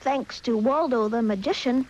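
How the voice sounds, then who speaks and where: A woman's voice: fairly high pitch, normal speed, darkish tone, lo-fi sound, a little hiss or hum, close to an everyday voice. A woman playing a cheerful young girl in a children's TV show, speaking in sing-song rhyming couplets. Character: cheerful young girl Muffy who talks in rhymes. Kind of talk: children's TV play.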